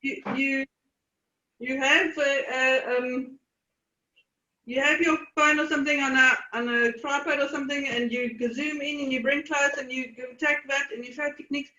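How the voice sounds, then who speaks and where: Bright-voiced woman host in an echoey room. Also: high-pitched, steady tone, highs cut off. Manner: encouraging, halting with many fillers. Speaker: meditation group host. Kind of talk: casual group check-in.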